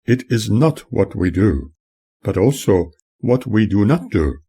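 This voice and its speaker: Low-pitched, expressive man narrating formally. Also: unhurried, slightly rough. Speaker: author reading own memoir. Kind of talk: scripted audiobook narration.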